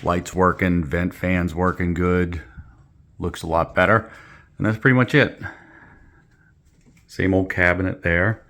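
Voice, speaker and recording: A man giving a relaxed, joking tour of a renovated bathroom, with many fillers. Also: low-pitched, measured pace, long pauses. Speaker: co-host renovating a house. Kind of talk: casual chat and house tour. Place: old house under renovation, indoors.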